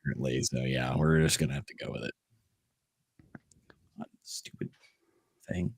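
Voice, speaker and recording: A man's voice: a bass voice, normal speed, creaky, slightly rough. A man chatting casually on his own microphone in an online group reading, as a guest reader. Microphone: own microphone in online group call.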